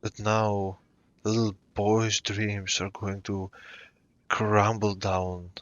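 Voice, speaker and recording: An actor playing a sinister, dim, blunt villain who gloats with menace in a video game, the sound slightly muffled. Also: male voice, on the low side, flat delivery, extremely slow, no room sound. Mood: gloating.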